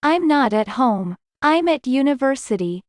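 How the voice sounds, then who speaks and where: A woman reading scripted English lesson dialogue, slowly and clearly, in carefully spaced sentences. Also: sits high, very animated, a little mellow, no echo, clean studio sound.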